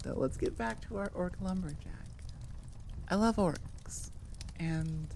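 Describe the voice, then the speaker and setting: Lower-pitched chatty woman, noisy recording. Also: a little mellow. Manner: chatty, tangential, enthusiastic. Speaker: audio creator and host. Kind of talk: casual rambling outro chat to listeners.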